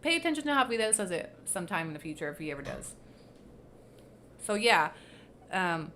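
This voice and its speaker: A woman's voice: lowish voice, bright, forceful tone, a little hiss or hum. A woman with Spanish as her first language, hosting a casual livestream commentary, blunt and sarcastic with frequent swearing. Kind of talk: casual commentary reading posts aloud.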